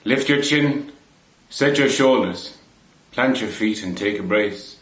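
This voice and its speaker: A man giving a measured, deliberate poetry recitation in a roomy indoor space. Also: lowish voice, fairly bright tone. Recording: roomy echo on the voice.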